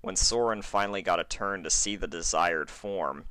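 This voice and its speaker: A man's voice: deep voice, moderate pace, bright, forceful tone, no echo. A man who reads fan fiction aloud, chatting to listeners and narrating stories expressively. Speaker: amateur fan fiction reader. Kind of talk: chatty intro, then expressive story narration.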